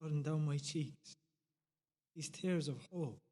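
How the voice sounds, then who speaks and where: Guy acting overwhelmed earnest man in a radio play, lighter mellow voice, halting, close to tears. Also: a little high. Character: overwhelmed, tearful man haltingly describing a tender moment.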